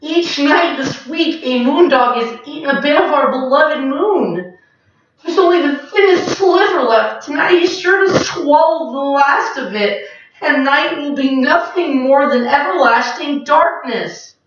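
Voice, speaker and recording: A chorus of timid night animals from a picture book whine and plead in high voices, as a woman puts them on. The recording is heard from far off in a very echoey room. The sound is muffled and lo-fi. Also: lively intonation, a little slow, fairly bright tone, a little hiss or hum. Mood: worried. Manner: whiny, pleading.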